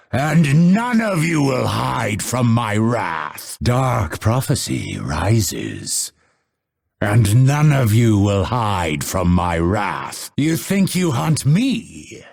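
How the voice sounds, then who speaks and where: A man voicing a vengeful exiled assassin in a video game, grim and proud, with a raspy, theatrical, ominous delivery. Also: mid-range voice, exaggerated voice. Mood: menacing, defiant. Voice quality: gravelly, raspy. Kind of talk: dramatic video game voice lines.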